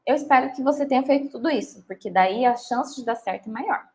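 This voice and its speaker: A woman's voice: high-pitched, fast talker. A woman lawyer, likely in her thirties, answering viewer questions in a chatty, reassuring live session. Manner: chatty and reassuring, often ends with "tá?". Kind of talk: live Q&A, reading comments aloud.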